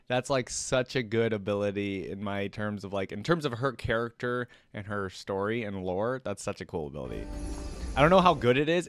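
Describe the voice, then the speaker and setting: A man hosting a reaction video, talking casually and reacting excitedly as he thinks aloud. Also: medium pitch.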